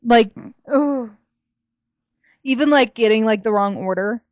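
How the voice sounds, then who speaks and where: Young American female co-host, fairly high-pitched, loose informal chat that trails off, dry close sound. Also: unhurried, darkish tone, no echo, muffled recording. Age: young adult. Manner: informal, heavy use of "like", trailing off. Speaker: podcast co-host. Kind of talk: casual chat.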